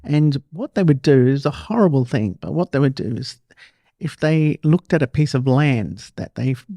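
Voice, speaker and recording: Man, Australian, podcast host, casual conversational style, soft dark tone, gravelly fry. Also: medium pitch, expressive, normal speed, dry recording.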